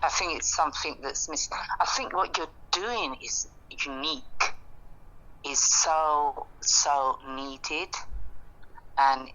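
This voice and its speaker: A woman in casual, reflective conversation, speaking earnestly and haltingly as she works out her thoughts. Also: sits low, pushed and bright, poor audio.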